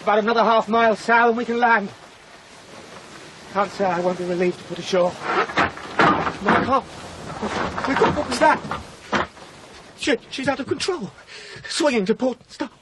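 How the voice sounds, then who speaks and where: A man's voice: very high-pitched, normal speed, long pauses, a bit bright, a little hiss or hum, poor audio. A man playing an anxious, devoted young husband in a radio drama, impassioned and protective, moving between tenderness and alarm. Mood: alarmed, defiant. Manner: impassioned, swinging from tender to frantic.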